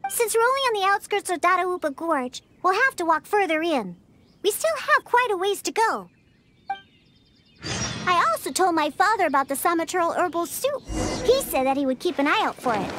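A woman's voice: extremely high pitch, sing-song, moderate pace, fairly bright tone, slight background noise, full character voice. A woman voicing a sassy, grumpy cat-eared little girl bartender in a video game, huffy and haughty. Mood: smug, confident. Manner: huffy, haughty, emphatic delivery. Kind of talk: scripted video game dialogue. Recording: music behind. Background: background game music.

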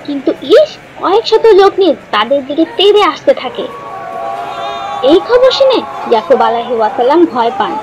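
A woman narrating a scripted children's story in a clear, instructive manner. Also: high voice, noisy background. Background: background music.

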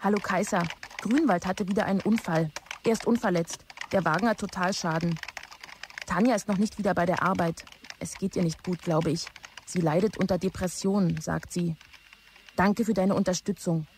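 An actress playing a dutiful office assistant in a German audio drama, giving plain, report-like messages. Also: female voice, fairly low pitch, fairly even intonation, normal speed, a little mellow, slight background noise, natural voice. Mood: matter-of-fact. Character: dutiful, matter-of-fact female office assistant.

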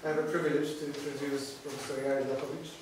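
A man in his thirties, hesitant and halting, giving a formal introduction of a guest lecturer from notes. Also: mid-range voice, little pitch movement, recorded from a distance. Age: 30s. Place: small lecture room with seated audience, indoors. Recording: echoey room from afar, noisy background.

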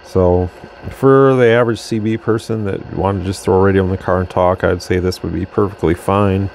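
Low gravelly guy talking thru a radio demo on his bench, faint hum behind. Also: brisk. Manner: relaxed, rambling, talks while adjusting gear. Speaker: radio reviewer. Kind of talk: casual product demo. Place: workbench with radio equipment, indoors.

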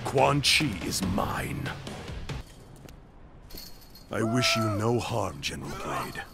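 A grim ninja clan master out for vengeance in a video game. His voice is low and animated, with solemn, formal phrasing. Dramatic music runs behind it. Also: slow pace, creaky, exaggerated voice. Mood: threatening. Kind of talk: video game cutscene acting.

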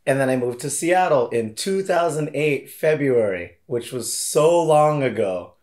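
A man's voice: mid-range voice, animated, a little slow, darkish tone. Male, late 30s, American, from Hawaii. Medium register, casual step-by-step personal storytelling. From Hawaii, United States. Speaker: interviewee. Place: indoor living room, seated in armchair.

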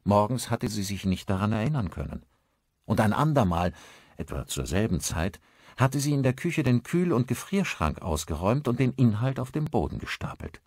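Deep, expressive male audiobook narrator. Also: normal speed, husky, dry recording, studio quality. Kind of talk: scripted suspense novel narration.

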